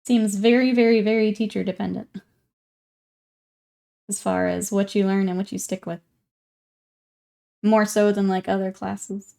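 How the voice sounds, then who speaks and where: A woman's voice: medium pitch, flat delivery, measured pace, creaky, long pauses, dark tone. A young woman streaming live while painting miniatures, chatting casually and reading viewer comments aloud as she works. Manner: chatty and easygoing, thinking aloud while working.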